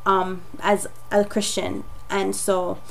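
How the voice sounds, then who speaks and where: A young woman from Jamaica, raspy from a cold, telling her personal story in a chatty, rambling way with many fillers. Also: mid-range voice, flat delivery, takes it slowly, poor audio. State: getting over a cold. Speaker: vlogger. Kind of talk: casual personal testimony to camera.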